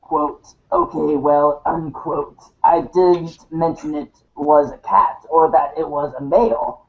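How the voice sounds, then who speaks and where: Man acting a sardonic teen girl, high-pitched, deadpan, pedantic, audio drama, muffled lo-fi mic. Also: takes it slowly, creaky. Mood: dry, sardonic. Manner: deadpan, formal wording.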